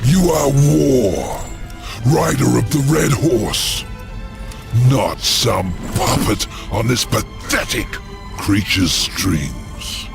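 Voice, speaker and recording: Menacing demon lord, very gravelly, slow, grandiose, commanding, game cutscene over music. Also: male voice, medium pitch, animated, heavily acted. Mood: goading. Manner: grandiose, deliberate. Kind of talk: video game cutscene acting. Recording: music under the voice.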